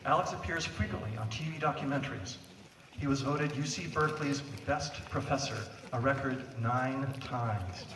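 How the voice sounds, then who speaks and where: A man hosting an outdoor evening event, reading a measured, dryly witty introduction of the guest speaker. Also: medium pitch, background noise. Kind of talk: scripted formal introduction. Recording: echoey, distant phone recording.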